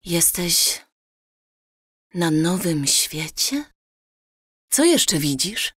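An actress plays a curious, sleepy woman in an intimate radio-play dialogue. Her voice is very low and husky, with slow, questioning lines. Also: very animated, fairly bright tone, no echo, heavily acted. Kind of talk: audio drama dialogue.

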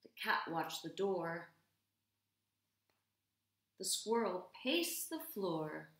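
A woman in her thirties, an English teacher reading aloud to young children, dramatic and deliberate, with hushed stretches. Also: on the low side, sing-song. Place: small room at home, indoors. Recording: roomy indoor echo.

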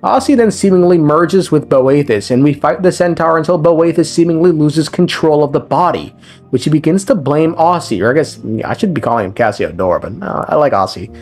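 A man's voice: fairly high pitch, expressive, brisk, a little mellow. A man giving a casual, conversational recap of a video game story as a lore commentator, with slang and swearing. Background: game music.

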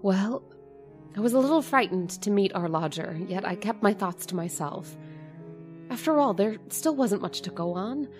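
A woman's voice: medium pitch, expressive, moderate pace, faint music behind, close to an everyday voice. A woman playing a timid middle-aged landlady who also narrates, with a hesitant, stammering manner. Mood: reflective narration. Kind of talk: old-time radio drama acting and narration.

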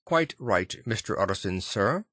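A man's voice: on the low side, lively intonation, a little slow, a bit bright, dry recording, clean studio sound. A man voicing an elderly male butler in an audiobook, polite, formal and dutiful. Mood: deferential. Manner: formal, courteous. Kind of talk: audiobook character reading.

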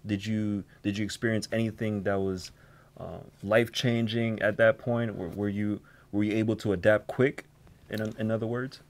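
A man's voice: fairly low pitch, fairly even intonation, normal speed. A man in his thirties hosting a casual interview, attentive and affirming as he puts his questions. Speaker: interviewer, host. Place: recording studio control room, indoors.

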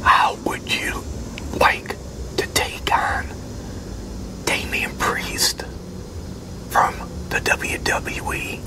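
A man in his sixties doing an ASMR roleplay as a promoter in a breathy, halting whisper. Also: rough recording. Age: 60s. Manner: halting, with pauses and one-sided conversation. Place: open covered outdoor picnic area with metal tables.

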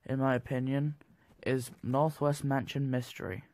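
A young adult man giving countdown review commentary, with hesitant, halting phrasing. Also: mid-range voice, level tone, darkish tone. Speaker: video maker reviewing cartoons. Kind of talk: top ten countdown commentary.

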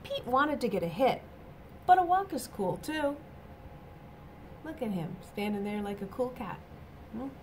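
A middle-aged woman reads a children's story in a fairly high voice. Her delivery is very animated and unhurried, stressing exclamations for young listeners. There is a faint noise in the room. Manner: expressive, deliberate, emphatic exclamations. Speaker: reader of a children's picture book. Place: small indoor office or classroom.

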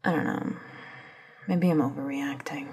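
A woman playing an anxious, self-doubting scientist in an audio drama, breathy and halting as she searches for words. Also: rumbling low, fairly even intonation, heavy background noise. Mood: anxious, hesitant. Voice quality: breathy, husky. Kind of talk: ASMR audio drama acting.

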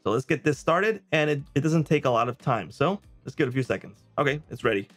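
A man in his thirties giving a casual, conversational software demo and checking in with the listener. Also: mid-range voice, expressive, fast. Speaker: presenter of software review videos. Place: small indoor home studio. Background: background music.

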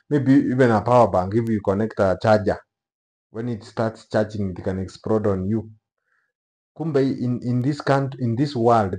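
Kenyan guy doing news commentary on camera, lowish relaxed voice, pauses a lot between bits. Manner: halting, pausing between short phrases. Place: small office room, indoors.

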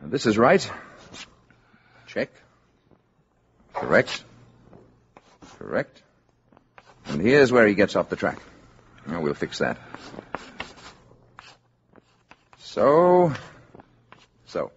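An actor playing a calm, courteous alien posing as an ordinary man in a 1950s radio drama, speaking in a measured, assured way. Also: fairly low pitch, heavily acted. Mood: quietly confident. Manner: polite, measured, quietly assured. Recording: dull, noisy 1950s radio recording.

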